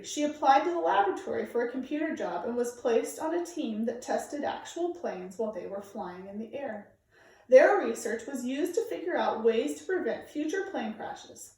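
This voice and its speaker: A woman reading aloud to children like a teacher, with clear, deliberate, emphatic phrasing. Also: high voice, a little mellow. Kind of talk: read-aloud storytelling for children, informal introduction. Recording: very echoey room, distant microphone.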